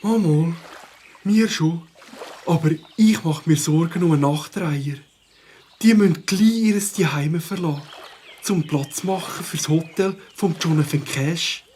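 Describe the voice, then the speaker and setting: A man's voice: a little high, measured pace, a little mellow, a little hiss or hum, natural voice. A man with a Swiss accent playing a worried, earnest bird expert in a children's audio drama.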